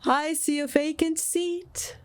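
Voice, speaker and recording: A stern storybook spirit played by a woman speaks in raised, ringing tones. The delivery is slow, grave and declamatory, each phrase weighed as a solemn rebuke. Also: sits high, steady tone, voice pushed up.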